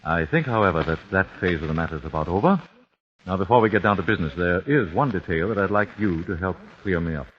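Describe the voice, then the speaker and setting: A man's voice: deep voice, expressive, fast talker. An actor playing a grim father avenging his son, formal and icily polite, in 1940s radio acting. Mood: calm, courteous. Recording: dull lo-fi old radio recording with faint hiss.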